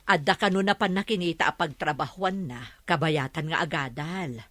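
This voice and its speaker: A middle-aged woman with an Ilocano accent playing a gentle, worried village mother. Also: sits low, moderate pace, no echo. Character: anxious village mother of a missing son.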